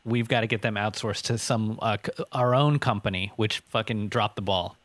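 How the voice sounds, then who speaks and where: A man's voice: lowish voice, normal speed, a bit bright, no room sound. A man co-hosting a podcast, explaining things loosely in casual, colloquial speech. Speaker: podcast host.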